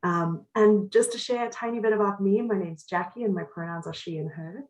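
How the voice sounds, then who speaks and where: A woman's voice: medium pitch, fairly quick, reverberant, rough recording. A woman from South Africa with a Cape Town accent, leading an educational workshop in an upbeat, welcoming way. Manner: upbeat, welcoming, frequent ums. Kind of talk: friendly presentation over video call.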